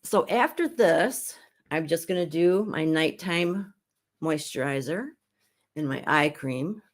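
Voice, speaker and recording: A middle-aged woman who is hoarse while getting over an illness, chatting casually as she hosts a live skincare demonstration at home. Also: low voice, lively intonation, takes it slowly. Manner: chatty and rambling, with frequent restarts. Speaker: beauty consultant and show host.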